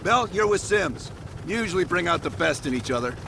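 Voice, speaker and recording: A man's voice: high voice, animated, brisk, vocal fry, very noisy. An actor playing a cool male CIA team leader with a dry, clipped, sardonic way of talking. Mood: wry. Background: film score.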